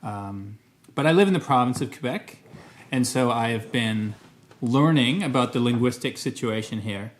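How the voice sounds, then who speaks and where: A man's voice: mid-range voice, lively intonation, normal speed. Thoughtful Canadian man vlogging. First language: English. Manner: thoughtful, hedging, frequent restarts. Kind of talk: casual talk to camera. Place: Montreal.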